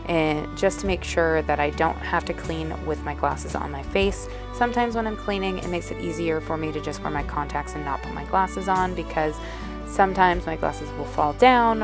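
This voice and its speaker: A woman vlogger, a bit sniffly, narrating her day in a chatty, rambling way. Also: low voice. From Northeastern United States. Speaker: lifestyle vlogger. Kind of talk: casual voice-over narration. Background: background music.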